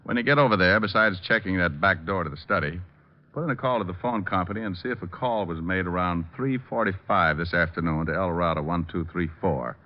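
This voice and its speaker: A man's voice: sits low, brisk, natural voice. An American man playing a cocky, wisecracking private detective in 1940s radio drama style, wry and flippant. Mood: brisk. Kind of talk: 1940s radio drama acting and first-person narration. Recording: dull old radio recording with music.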